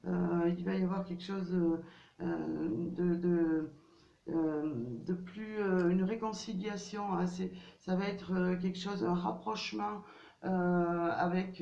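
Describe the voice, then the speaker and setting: A woman's voice: lowish voice, little pitch movement, takes it slowly, gravelly, warm tone. A woman with a cold giving a casual tarot card reading, hesitant and full of fillers and restarts. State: has a cold. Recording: distant, dull, roomy home recording.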